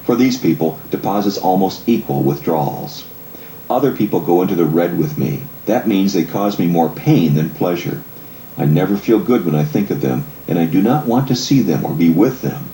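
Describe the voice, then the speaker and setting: Male audiobook narrator, measured delivery, mellow tone, echoey room. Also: low voice, animated. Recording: echoey room, faint background noise.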